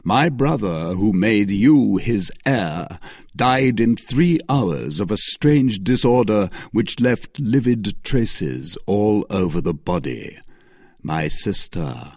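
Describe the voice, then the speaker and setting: A man voicing a vengeful English lord who accuses loudly and emphatically. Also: fairly low pitch, lively intonation, slow, breathy edge, no echo, clean studio sound, full character voice. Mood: grave. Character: wronged middle-aged English lord, vengeful.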